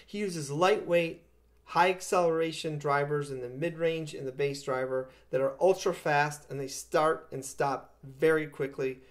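Guy in his 50s reviewing audio gear, kinda high voice, explains stuff in simple terms. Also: fairly even intonation, unhurried. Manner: explanatory, with plain everyday comparisons. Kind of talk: product review talk to camera. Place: living room with acoustic panels, indoors.

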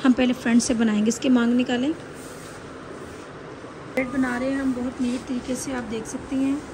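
A woman's voice: a little high, little pitch movement, moderate pace. A woman with a Pakistani accent giving an informal, instructive hairstyling tutorial as a salon instructor, often checking that listeners follow. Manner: instructive, repeatedly checks with "okay". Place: indoor beauty salon. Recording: very noisy salon room recording. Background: loud background noise.

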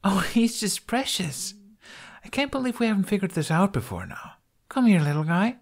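A man's voice: high-pitched, big pitch swings, moderate pace, creaky, voice pushed up, exaggerated voice. A man voicing a young warrior woman who is blunt and wary but tender underneath, in an audiobook. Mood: delighted.

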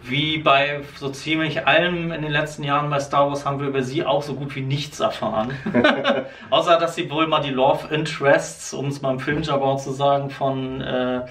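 A man in his thirties with a northern German accent chats about figures, agreeing often with brief replies. His voice is mid-pitched. He sounds distant and the room rings around him. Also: fairly even intonation, moderate pace, a bit bright. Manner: agreeable, short affirming interjections. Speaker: co-host in a comic shop. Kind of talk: casual product chat. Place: shop room with posters, indoors. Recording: roomy, from off the mic.

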